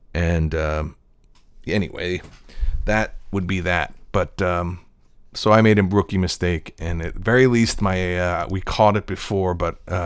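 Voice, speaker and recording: Man, voice actor, deep low voice, dry recording, casual wandering monologue. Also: a bit bright, dry recording. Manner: digressive, reflective storytelling. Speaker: voice-over actor. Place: at a desk.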